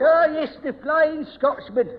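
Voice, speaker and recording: A genial, dithering old pensioner in an old radio comedy. His very high voice rambles and chuckles along, on a dull recording made in a reverberant hall. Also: measured pace, a crowd in the background, background noise. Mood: dreamily reminiscing. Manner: chuckling, digressive, doddery. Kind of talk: 1970s radio sitcom acting.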